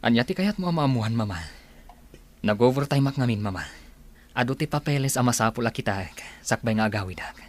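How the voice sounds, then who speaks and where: A man's voice: mid-range voice, animated, fairly quick, close to an everyday voice. An actor with an Ilocano accent playing a secretive, evasive young businessman, earnest and placating, in a radio drama. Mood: defensive.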